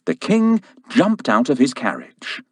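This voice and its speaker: A man narrating a children's story from a script in an expressive, deliberate way. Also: medium pitch, breathy edge, no room sound.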